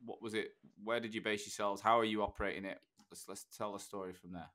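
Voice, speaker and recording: A man's voice: on the low side, steady tone, fast talker, bright, forceful tone. A man hosting a podcast, asking the guests conversational, encouraging questions. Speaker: podcast host. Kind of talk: interviewer prompting guests.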